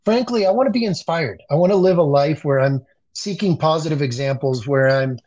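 A man from the Dominican Republic talks politics as an interview guest. He is earnest and often stumbles and restarts his sentences. His voice sits a little high for a man, and the call audio sounds dull and lo-fi. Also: a little fast, a little mellow. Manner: earnest, frequent restarts and repeated words. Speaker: communications expert guest.

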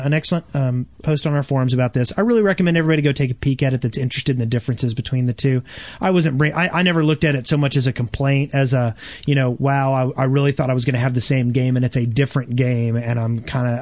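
A man's voice: mid-range voice, fast, a little mellow, no room sound. A man co-hosts a casual gaming podcast in a mid-range voice. He restarts phrases often and thinks aloud as he goes. The sound is dry, with very little room in it. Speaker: podcast host. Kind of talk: casual panel chat about gaming.

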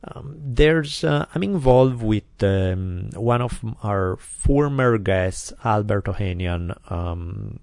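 An Italian-accented man hosts a podcast in casual chat. His voice sits low and he wanders through his points with plenty of ums. The studio sound is close and dry. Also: expressive, slow pace, gravelly, hardly any pauses, a little mellow, no room sound. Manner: chatty, rambling with many fillers. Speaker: podcast host. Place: quiet recording studio.

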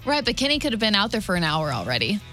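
Woman, radio co-host, bright forceful voice, chatty informal storytelling, close studio mic, light music behind. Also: medium pitch, sing-song, rapid-fire. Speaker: radio morning show co-host. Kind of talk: casual radio entertainment chat. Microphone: close radio studio microphone.

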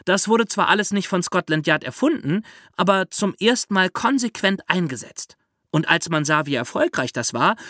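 Higher-voiced man explaining, dry studio sound. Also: fairly bright tone, no echo. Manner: conversational, addresses listeners directly. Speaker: podcast host. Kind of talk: explanatory storytelling for young listeners.

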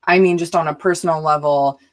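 A woman with a slightly low voice, a teacher who grew up in Arizona and Southern California. She talks in casual conversation, emphatic and animated, often restarting her sentences as she builds a point. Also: a little fast.

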